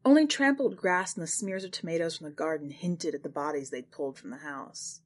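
A woman narrating literary short fiction from a script, with expressive, dramatic phrasing. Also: low-pitched, fairly quick. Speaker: podcast host and fiction narrator.

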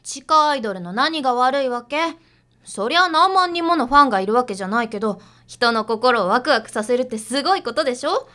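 A woman's voice: fairly high pitch, animated, a little fast, pushed and bright. A young woman playing a perky, cheeky underground idol with a gushing, breezy manner in a radio drama. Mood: defiant.

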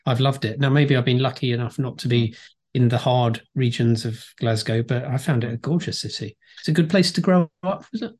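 Upbeat podcast host. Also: male voice, mid-range voice, brisk, darkish tone. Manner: upbeat, friendly, emphatic. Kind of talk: casual podcast chat.

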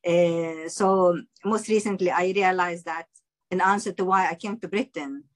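Woman panel guest, a filmmaker from Russia and Palestine, reflective and unhurried. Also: on the low side, steady tone. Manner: thoughtful, hesitant with fillers. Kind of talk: panel discussion.

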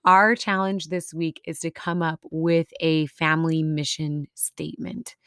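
A low-pitched woman with a Minnesota accent, co-hosting a faith podcast. She talks earnestly and encouragingly in a casual chat. The sound is dry and close. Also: little pitch movement, unhurried, a bit bright, smooth, no room sound. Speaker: podcast co-host.

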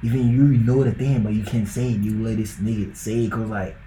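A man's voice: fairly low pitch, fairly even intonation, brisk, warm tone, roomy sound, a little hiss or hum, rough recording. A young man from Ghana with a Ghanaian accent, talking loosely on a podcast and filling his talk with likes and bros. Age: young adult. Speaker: podcast co-host. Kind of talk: casual podcast chat.

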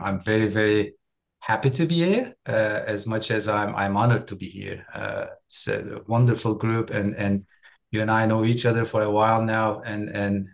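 Creaky-voiced man from Turkey. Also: lowish voice. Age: 40s. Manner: friendly, with frequent repeated words and quick laughs. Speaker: guest. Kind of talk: casual interview chat.

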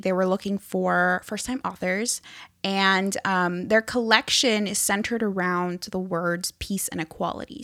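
Woman, medium pitch, conversational author interview, dry room-free sound. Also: a bit bright, no room sound. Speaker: first-time children's book author being interviewed.

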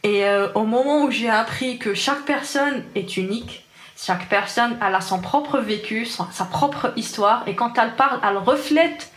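Young algerian woman talking earnestly, slightly high voice, roomy echo around her. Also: normal speed. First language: Arabic. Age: 20s. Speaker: interviewee, engineer. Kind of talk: personal testimony in an interview.

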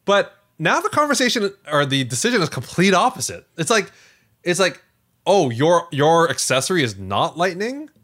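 A man with a bright, forceful voice chats casually as a podcast co-host. His pitch moves around in a lively way, and he leans on words emphatically as he makes his points. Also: normal speed. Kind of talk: casual tech podcast chat.

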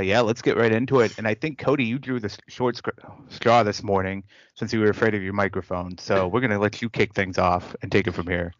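A man's voice: lowish voice, dry recording, lo-fi sound. A man in his thirties hosting a casual podcast chat, teasing his co-hosts in an upbeat way. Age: 30s. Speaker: podcast host.

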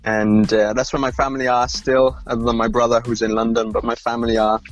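A man in his thirties with a softened Welsh accent talks in a mid-pitched, easygoing voice. He rambles through his story with restarts and small laughs. Faint music plays underneath. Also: level tone, a little fast, low-quality recording. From Wales, Rhondda Valleys. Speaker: podcast guest. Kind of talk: casual conversation.

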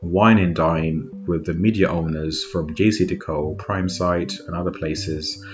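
Deep low voice guy from zimbabwe, relaxed reflective podcast chat, kinda muffled. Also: measured pace. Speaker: podcast host. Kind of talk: casual talk. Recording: dull lo-fi sound with faint noise.

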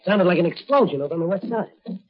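A man's voice: high voice, animated, fast, slightly rough. An actor playing an earnest, determined young rookie policeman in a 1940s radio drama, heard on a muffled old transcription. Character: young earnest rookie cop, brave hero.

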